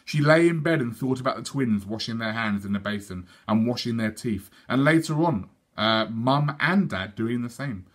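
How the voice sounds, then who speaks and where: A man reading a children's story aloud like a teacher, in a measured, expressive way. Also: medium pitch. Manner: measured, animated.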